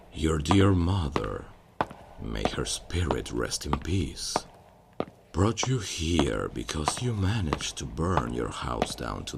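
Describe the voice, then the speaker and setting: Man acting a very deep, gravelly old archmage. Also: heavy background noise, exaggerated voice. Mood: fond, proud. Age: older. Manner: gentle, solemn, measured mentoring. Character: kindly old male archmage, proud, fatherly mentor. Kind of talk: fantasy ASMR roleplay acting.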